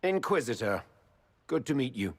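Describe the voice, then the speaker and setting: A lordly envoy in a fantasy game, close and free of room sound. He is plain-spoken and measured, putting his points formally and firmly at a middling pitch. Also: big pitch swings, no echo. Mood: cordial. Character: plain-spoken, firm male lord envoy of a kingdom. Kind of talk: video game character acting.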